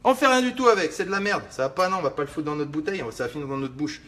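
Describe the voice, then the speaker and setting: A man's voice: fairly high pitch, rapid-fire, bright, forceful tone. A young man hosting a casual live stream, joking and rambling to his viewers as he cuts lemons. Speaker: fitness livestream host. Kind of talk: casual live cooking demo.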